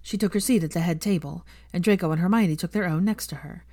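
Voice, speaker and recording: Lowish-voiced woman narrating a story. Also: quick pace, mellow, no echo, clean studio sound. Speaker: fan fiction narrator. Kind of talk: expressive scripted story narration.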